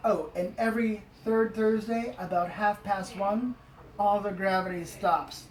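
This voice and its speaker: A woman reading a rhyming children's story aloud in an expressive way. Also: mid-range voice, measured pace. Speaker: storybook reader. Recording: echoey, distant, faint background noise.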